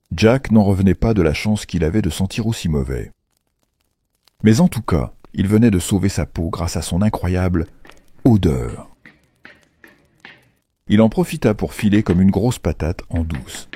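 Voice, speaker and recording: A man narrating a children's fairy tale from a script in an expressive, playful storytelling manner. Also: low-pitched, a little slow, long pauses, slightly rough.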